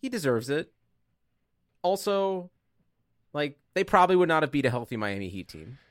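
A man's voice: fairly high pitch, animated, normal speed, no room sound, studio quality. A man co-hosting a podcast in casual sports banter, sarcastic and given to swearing. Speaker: podcast co-host.

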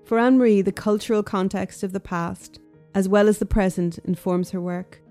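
Mellow-voiced female documentary narrator. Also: mid-range voice, steady tone, moderate pace, soft background music. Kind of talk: scripted narration.

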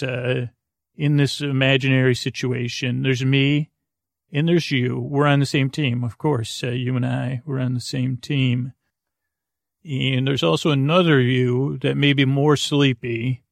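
A man hosting a podcast with a creaky, gravelly voice, improvising a hesitant, meandering bedtime monologue full of tangents, close on the mic. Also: medium pitch, steady tone, measured pace, no echo. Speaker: podcast host.

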